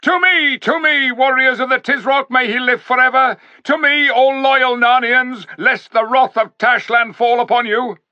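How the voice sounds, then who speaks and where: A proud, scheming warlord bellows for his soldiers in a strained, high voice, as a man puts him on. The tone is bright and sharp. The sound is dry and a little dull. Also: steady tone, unhurried, hardly any pauses, clear, resonant tone, no room sound, full character voice. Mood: rallying. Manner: shrill urgent shouting. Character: proud scheming foreign warlord rallying troops.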